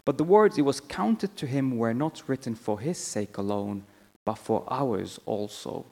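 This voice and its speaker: A man's voice: medium pitch, lively intonation, a little slow, creaky, a little mellow. A man with a Finnish accent preaching a sermon to a church congregation. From Finland. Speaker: church member preaching, theological college staff. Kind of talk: sermon with scripture reading and prayer. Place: church hall, speaking to a congregation. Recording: echoey hall with long reverb.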